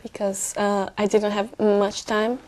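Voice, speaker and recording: Young woman, exam candidate, hesitant and warm-toned, mid pitch. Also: little pitch movement, a little slow. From Brazil. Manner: hesitant, with many fillers and soft laughs.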